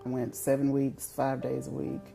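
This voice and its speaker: A woman's voice: very deep voice, level tone, moderate pace, gravelly, over music, low-quality recording. A woman giving reflective personal testimony as a cancer survivor and advocate.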